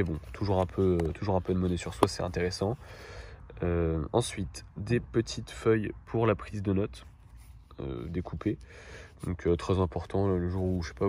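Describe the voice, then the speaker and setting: A man with a deep, low voice hosts a casual presentation to camera. He speaks informally and haltingly, hesitating as he explains things. Some ambient noise sits behind his voice. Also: a little mellow. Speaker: video host presenting everyday-carry gear.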